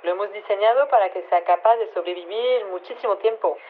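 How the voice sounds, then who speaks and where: An actress playing a casual, deadpan scientist interviewed over the phone in a radio fiction, explaining things a little hesitantly. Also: female voice, medium pitch, brisk, a little hiss or hum. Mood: matter-of-fact. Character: apologetic, matter-of-fact woman scientist calling a radio show. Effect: phone line filter. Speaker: interviewed virology expert. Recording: tinny narrow phone line.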